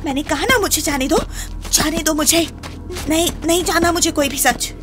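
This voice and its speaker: A woman's voice: high-pitched, normal speed, music in the background, lightly acted. A woman playing a guilt-ridden, terrified and defiant ghost in a horror audio drama, stammering and pleading tearfully. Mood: desperate, angry. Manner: stammering, repeating words, pleading.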